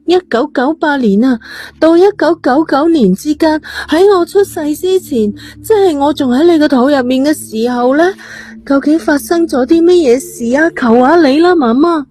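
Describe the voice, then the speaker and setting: A woman's voice: sits high, moderate pace, a little mellow, voice pushed up, natural voice. A woman voicing a defiant, desperate eighteen-year-old girl in an urgent, pleading, emotional way for an audio drama.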